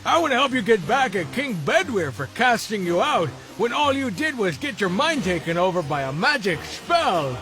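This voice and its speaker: A man voicing a small, goofy, scheming creature in an animated film, chatty and wheedling with an excitable manner. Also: sits high, normal speed, few pauses, soft background music, heavily acted.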